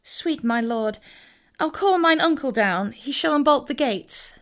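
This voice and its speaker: A woman's voice: high voice, expressive, a little slow, a bit bright, exaggerated voice. An actress playing a lovesick, pleading young Trojan woman in a Shakespearean audio drama, sighing and lingering over her words. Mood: pleading, wistful.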